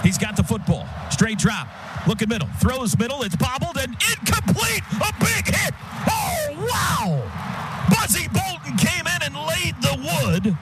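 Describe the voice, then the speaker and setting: Male American radio play-by-play caller, high urgent pitch, short punchy phrases, loud stadium din behind. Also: measured pace, over loud music, low-quality recording. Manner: excited, clipped short phrases, shouts on big plays. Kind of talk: live American football radio play-by-play commentary.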